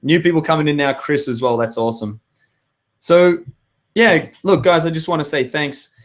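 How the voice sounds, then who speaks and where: An Australian man in his thirties with a hoarse voice, hosting a live web show and chatting with viewers in an upbeat, rambling way. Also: a little high, normal speed. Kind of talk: live webinar chat to viewers.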